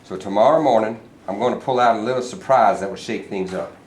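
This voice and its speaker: A man's voice: lowish voice, fast, natural voice. A man playing a smug scheming governor. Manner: sly and self-assured. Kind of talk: staged reading. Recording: echoey room, read from far off, noisy.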